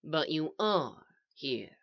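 Lady doing a creepy calm guy from a story, real quiet n polite, super slow, echoey. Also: low voice, vocal fry, exaggerated voice. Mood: soft, reasoning. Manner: soft, slow, even and reasonable. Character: calm, eerie red-eyed male stranger, polite and patient. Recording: echoey, slightly dull home recording.

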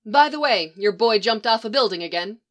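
A woman voicing a brisk, dry female commanding officer giving a debrief. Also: medium pitch, expressive, lightly acted.

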